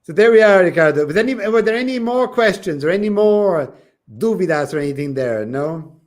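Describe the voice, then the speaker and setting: High-pitched man, encouraging English teacher. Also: expressive, brisk. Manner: encouraging, emphatic, repeats phrases. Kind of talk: casual livestream chat, coaching language learners.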